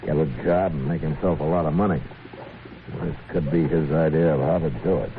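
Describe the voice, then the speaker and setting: An extremely low-voiced American lawman from a 1950s radio western. He is stern, steady and terse, giving orders in a firm deadpan. The sound is a narrow, crackly vintage broadcast. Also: fairly quick. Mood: calm, decisive. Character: stern, steady frontier town marshal, a man.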